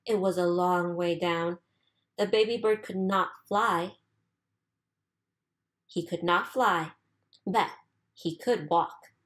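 A woman reading a children's storybook aloud expressively, with deliberate, sing-song emphasis. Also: lowish voice. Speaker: storybook reader.

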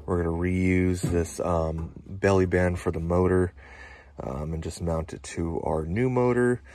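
Low, slow-talking HVAC technician. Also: male voice, a little mellow. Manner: plain-spoken, working while talking, many "uh" fillers. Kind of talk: narrating a repair job on camera. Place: outdoors beside a home's heat pump. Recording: noisy outdoor job site with tool clatter.

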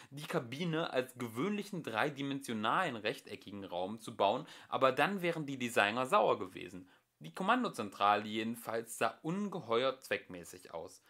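A young man reading a comic science-fiction audiobook aloud at home, expressive and dry in his timing. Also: mid-range voice. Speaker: amateur audiobook reader. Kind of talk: audiobook narration. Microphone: home microphone.

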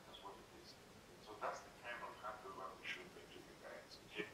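A middle-aged official speaks in a measured, advisory way and often lets his words trail off softly. His voice is low. It is heard through a very echoey, distant pickup with clear background noise. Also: fairly even intonation. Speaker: senior government official guest. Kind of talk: encouraging remarks on a video call.

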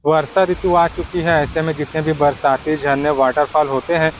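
High-pitched man narrating a travel guide. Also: level tone, moderate pace, a little hiss or hum, rough recording. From Chhattisgarh, India. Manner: addresses viewers directly as friends. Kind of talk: scripted travel guide voice-over.

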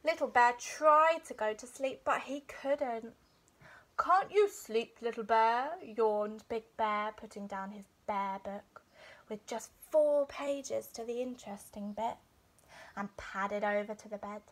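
A woman's voice: a little high, slow pace. A young adult woman narrating a children's bedtime story expressively, like a teacher reading aloud indoors. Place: small furnished room indoors.

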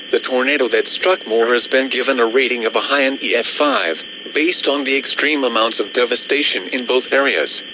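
A synthetic male announcer reads a formal weather bulletin over the radio. His delivery is impersonal and his pitch sits in the middle range. The broadcast sounds dull and lo-fi, with clear noise. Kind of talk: scripted weather bulletin narration.

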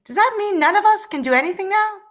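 Lady doing a tiny cute app character for a kids story, perky n eager, squeaky high. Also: expressive, quick pace, fairly bright tone. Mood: chirpy. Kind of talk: children's storybook read-aloud.

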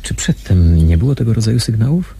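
An actor playing a wary, thoughtful undercover investigator in a radio drama, with a measured, questioning manner. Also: male voice, lowish voice, big pitch swings, dark tone, slightly rough, full character voice. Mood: wary, probing. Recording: noisy recording with background ambience.

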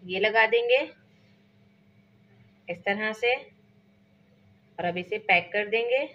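A woman's voice: mid-range voice, extremely slow, muffled recording. A woman hosting a cooking channel, talking casually step by step through a home recipe in her kitchen, with a Pakistani Urdu accent. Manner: chatty step-by-step explaining, frequent filler phrases.

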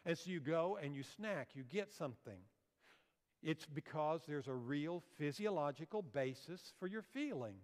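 A man's voice: a little high, lively intonation, moderate pace. An older man giving a health seminar lecture on a church auditorium stage, explaining slides and asking the audience questions. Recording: long hall reverb.

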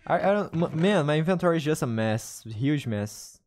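A man's voice: a little high, expressive, a little mellow. A young man with a Brazilian Portuguese accent, talking to his live-stream chat while he plays. His English is his second language, so he often stops, repeats himself and hunts for the right word. He speaks into a desk microphone in a small room. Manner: halting non-native English, restarts and searches for words. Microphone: studio mic on arm, headphones.

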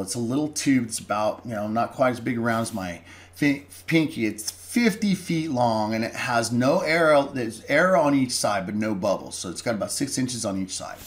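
Middle-aged guy explaining siding tips, folksy, stumbles and restarts a bit, desk mic. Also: mid-range voice. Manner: plainspoken, folksy, with restarts and self-corrections. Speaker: lumber company presenter. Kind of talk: instructional voice-over.

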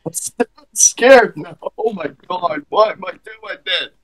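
Flustered, nervous man, slightly high voice. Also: slow pace, rough recording. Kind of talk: casual voice-chat roleplay.

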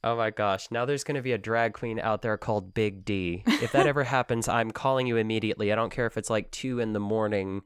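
A man co-hosting a casual book-club podcast, playful and animated, his voice worn out. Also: fairly low pitch, fairly quick, hardly any pauses, clear, resonant tone, dry recording, clean studio sound. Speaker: podcast co-host.

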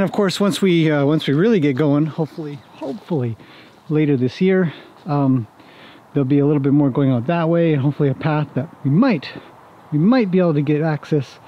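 Mellow, laid-back man vlogging outdoors. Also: fairly high pitch. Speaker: vlog host and horse paddock owner. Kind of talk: casual walk-and-talk vlog explanation. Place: outdoors on a wooded horse property. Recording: clear outdoor ambient noise.

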